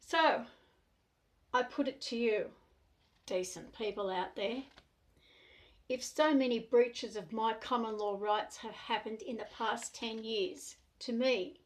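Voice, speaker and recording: A middle-aged Australian woman speaking to the camera in an earnest, deliberate way, in short measured phrases. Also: on the high side. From New South Wales, Australia. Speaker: self-represented complainant. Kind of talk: monologue to camera.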